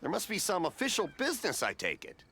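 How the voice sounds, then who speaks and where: A jovial, generous middle-aged festival vendor in a game, chuckling through his banter. His voice is high and bright for a man and swings widely in pitch, with faint music behind. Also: huge pitch swings, fast, gravelly, a little hiss or hum, exaggerated voice. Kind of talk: video game voice acting.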